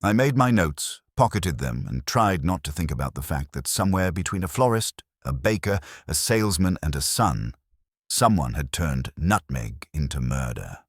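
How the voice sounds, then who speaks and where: A man narrates a first-person detective tale with a very deep voice that has a rough, husky edge. His delivery is wry and measured, yet the pitch moves around in a lively way. It is scripted storytelling throughout. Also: dry recording, studio quality. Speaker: audiobook narrator.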